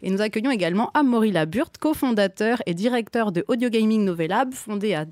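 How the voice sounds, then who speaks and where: Articulate woman interviewer, measured. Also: mid-range voice, expressive, a little mellow, dry recording, clean studio sound. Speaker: podcast interviewer. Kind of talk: scripted guest introduction and interview.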